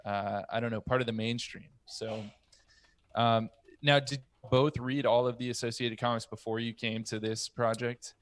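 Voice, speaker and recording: A man's voice: mid-range voice, fairly even intonation, normal speed. A man in his thirties hosting a podcast, casually posing questions to his fellow panelists on a close studio mic. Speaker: podcast host. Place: small home room, indoors. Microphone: boom-arm studio mic up close.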